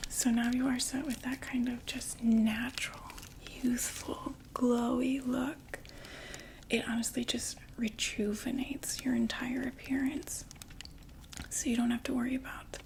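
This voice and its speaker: A woman voicing a chatty undead vampire makeup saleswoman in a soft, gently persuasive ASMR whisper. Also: sits high, gravelly, a little hiss or hum, full character voice. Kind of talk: ASMR roleplay.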